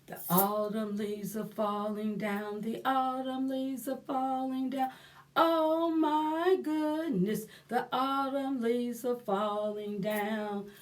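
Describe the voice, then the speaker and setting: A woman with a high voice leads a storytime for young children. She speaks very slowly and expressively, with a sing-song lilt. She repeats simple phrases and addresses her listeners directly. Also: steady tone. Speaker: library storytime host. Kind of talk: children's storytime read-aloud and action rhymes.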